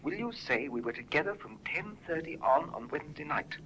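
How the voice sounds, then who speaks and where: An actor playing a nervous young artist under suspicion, stammering and pleading in a 1950s radio drama. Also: male voice, fairly high pitch, expressive, a little fast, pushed and bright. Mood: urgent. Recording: noisy old radio recording.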